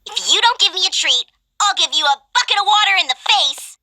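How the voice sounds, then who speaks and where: A feisty lil game girl, super high n bright, swoopy and mischievous. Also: expressive, fairly quick, full character voice, poor audio. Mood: playful threatening. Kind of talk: video game voice acting.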